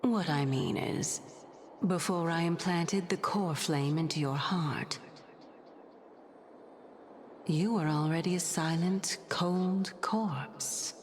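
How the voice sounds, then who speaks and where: A woman's voice: lowish voice, takes it slowly, slight background noise. An actress playing an unruffled, eerily blunt woman in a video game, hesitant and understated. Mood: eerie, hushed. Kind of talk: soft-spoken video game voice acting. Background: background music.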